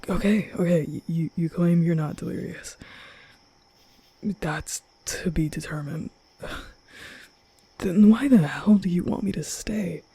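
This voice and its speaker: A very breathy, soft-spoken young vampire played by a man for an ASMR roleplay. He talks slowly and haltingly, stammering and flustered, often slipping into a whisper. His voice has a slight gravelly creak, and his temper is awkward and kind. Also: a little high, heavily acted. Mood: flustered, incredulous.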